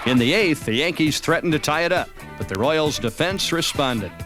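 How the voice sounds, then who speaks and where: An American man narrates a sports highlight film from a script. His voice sits a little on the high side, and music plays underneath. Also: lively intonation, moderate pace. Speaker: documentary narrator.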